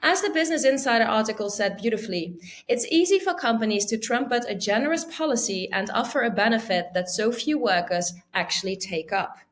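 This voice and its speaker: A woman hosting a debate podcast, clear and organized, reading out prepared background before each statement. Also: a little high, expressive, brisk. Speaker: podcast host.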